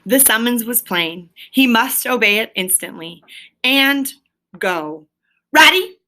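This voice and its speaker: A woman's voice: a little high, expressive, slow pace, pushed and bright. A woman teacher reading a story aloud and explaining it to pupils, with an emphatic, questioning delivery.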